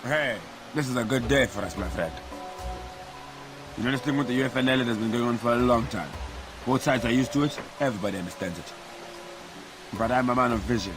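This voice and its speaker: Guy playing a scheming militia boss in a game, talks fast n chummy, music clearly under him. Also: medium pitch, natural voice, low-quality recording. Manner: chummy, boastful persuasion. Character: ambitious, scheming militia officer hungry for power. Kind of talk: video game cutscene acting.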